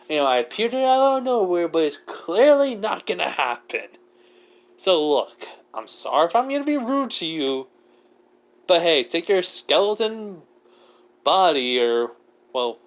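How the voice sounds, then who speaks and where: A man's voice: mid-range voice, a bit bright. Exasperated, sarcastic stranded human , acted by a man. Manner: ranting, sarcastic.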